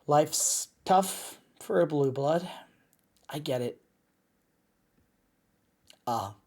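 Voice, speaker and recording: Slow, low-voiced teasing mercenary woman, acted out by a woman. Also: darkish tone. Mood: softer, caring. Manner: teasing, sardonic, drawling. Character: cocky, gruff but motherly lycan mercenary outlaw woman. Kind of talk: scripted audio roleplay drama.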